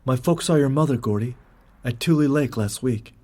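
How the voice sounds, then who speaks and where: An actor playing a caring young female student who speaks gently and affectionately, with a soft, breathy voice. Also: mid-range voice, normal speed, natural voice. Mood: tender.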